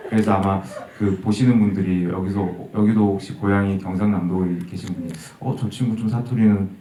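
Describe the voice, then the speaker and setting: A man's voice: deep voice, steady tone, fairly quick, dark tone. A man from Busan with a Busan accent, chatting and joking about himself at a live audience Q&A. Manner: chatty and self-joking, laughs often. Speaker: film director at an audience talk. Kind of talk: casual talk at a live Q&A. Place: event hall. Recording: distant, roomy hall sound with clear noise.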